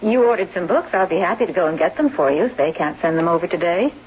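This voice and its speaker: Husky, coolly taunting caregiver. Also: female voice, on the low side, expressive, fast. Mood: coolly mocking. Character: coolly taunting, scheming female caregiver and mistress. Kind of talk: 1970s radio drama acting. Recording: muffled old radio recording.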